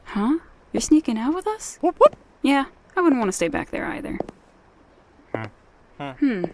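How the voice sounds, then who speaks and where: A woman's voice: high voice, very animated, measured pace, a little mellow, a little hiss or hum. A woman playing a kind, dutiful maid in a fantasy audio drama, friendly and helpful. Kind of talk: scripted fantasy audio roleplay.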